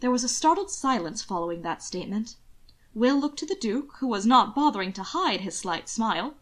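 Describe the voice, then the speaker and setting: A woman's voice: on the high side, animated, brisk. A woman reading scripted fiction aloud as the narrator of an amateur audiobook.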